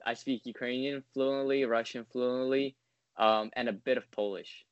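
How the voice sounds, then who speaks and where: A man's voice: medium pitch, fairly even intonation, normal speed, smooth, no room sound, lo-fi sound. A man in his twenties, a guest in a casual interview, talking in a rambling way full of ums and likes. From United States. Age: 20s.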